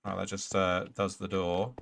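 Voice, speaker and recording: A man hosting a gaming livestream, hoarse and clearing his throat, casually muttering to himself and chatting to game monsters. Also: low voice, monotone, moderate pace, noisy background. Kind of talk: casual live gameplay commentary.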